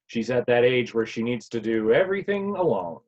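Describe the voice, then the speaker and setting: An actor playing a fond, watchful, nostalgic parent with a halting manner, in a naturalistic play reading over a video call. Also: male voice, mid-range voice, very animated. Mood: fond, wistful. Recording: dull, lo-fi video-call sound.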